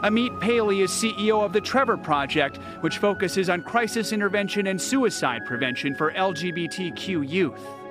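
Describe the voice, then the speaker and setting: A man's voice: sits high, animated, moderate pace, music in the background. A man reading a scripted news report as a reporter.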